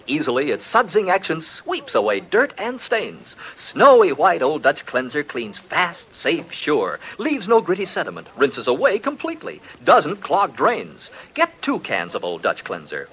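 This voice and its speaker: High, animated American radio announcer man. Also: poor audio. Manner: punchy, polished salesmanship. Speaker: announcer and narrator. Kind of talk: 1940s radio announcing, scripted ad read and narration.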